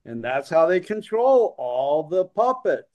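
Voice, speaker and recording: A man's voice: on the high side, big pitch swings, a little slow, darkish tone. A man hosting a casual talk and making blunt, assertive statements. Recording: dull lo-fi audio.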